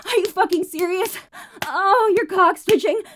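A woman playing a very high-voiced, breathless bratty streamer. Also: takes it slowly, a little mellow, a little hiss or hum. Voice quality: breathy, moaning, tearful. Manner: panting and moaning between short phrases. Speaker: adult audio roleplay performer. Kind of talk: explicit audio roleplay.

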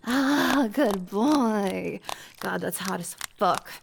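A woman playing a dominant, teasing boss in an intimate adult audio roleplay, breathy and often dropping into whispers. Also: medium pitch, expressive, drawn-out words, noisy background, heavily acted. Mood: stern, teasing. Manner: breathy delivery with sighs and drops into whispers.

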